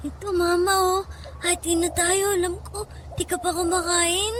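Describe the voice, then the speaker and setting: A woman's voice: very high voice, flat delivery, moderate pace, a bit bright, a little hiss or hum. A woman playing a small, innocent, pleading boy in a Tagalog radio drama, with a plaintive, polite, childlike manner. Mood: meek, sorry. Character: small hungry boy, innocent, pleading, loyal to his mother.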